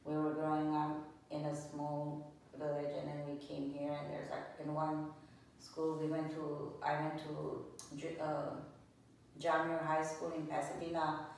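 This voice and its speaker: A woman in her sixties gives plainspoken, halting answers in an interview. Her voice is low and she takes her time. It was recorded from a distance in a heavily reverberant room. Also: monotone, gravelly, a little mellow. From Lebanon. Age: 60s. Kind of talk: oral history interview answers. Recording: echoey room, distant mic, faint noise.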